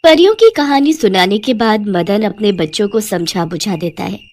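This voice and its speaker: A woman's voice: medium pitch, animated, normal speed. A woman narrator reading a scripted children's moral story.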